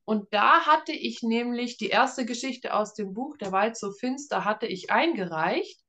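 Casual woman author, slightly high voice. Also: expressive, a little slow, a bit bright. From northern Germany. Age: around 30. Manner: informal, explanatory. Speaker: author, interview guest. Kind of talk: casual interview conversation.

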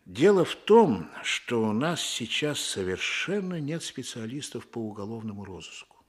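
An actor playing an earnest, fatherly Soviet police chief in a radio drama, coaxing and emphatic, with a husky voice. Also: medium pitch, big pitch swings, normal speed. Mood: earnest, persuasive. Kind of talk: Russian radio drama acting.

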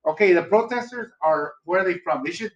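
A man in his forties chats fast and loosely on a podcast, rambling and cursing casually. His voice sits a little high. The recording is echoey and dull, with a lo-fi sound. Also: expressive, noisy background. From Los Angeles area. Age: 40s. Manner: rambling, laid-back, swears casually. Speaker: podcast co-host. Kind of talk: casual chat.

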